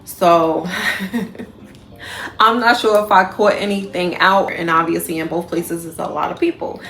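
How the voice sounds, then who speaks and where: A woman's voice: on the low side, fairly even intonation, unhurried, fairly bright tone. A woman travel nurse vlogging from a hotel room, talking in a rambling, chatty way full of fillers. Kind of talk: casual vlog diary. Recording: music playing under the voice.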